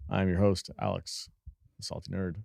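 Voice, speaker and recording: A man hosting a gaming podcast in a loose, energetic, profane casual chat. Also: sits low, moderate pace, creaky, no echo, clean studio sound. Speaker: podcast host.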